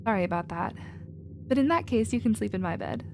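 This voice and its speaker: A woman playing a protective, nurturing female alien with a casual, expressive manner in a sci-fi ASMR roleplay. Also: mid-range voice, fairly quick, gravelly, noisy background. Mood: tender, bubbly.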